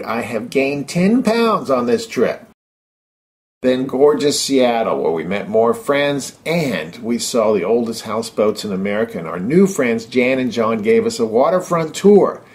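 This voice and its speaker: A middle-aged man narrates a personal travelogue in a medium-pitched voice. His delivery is upbeat and keen, like someone recounting his own trip over a series of photos.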